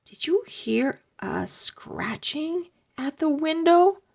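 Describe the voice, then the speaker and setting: A woman's voice: high voice, animated, slow pace, creaky, a little mellow, voice pushed up, heavily acted. A woman voicing an eager, curious young boy who asks questions in a lifted voice.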